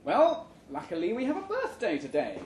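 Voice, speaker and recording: A man voicing a brisk, falsely cheerful female head of human resources in a live story reading, smoothly corporate in manner. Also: sits high, wildly swooping pitch, a little mellow, voice pushed up. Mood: bright, businesslike. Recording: roomy, noisy live venue recording.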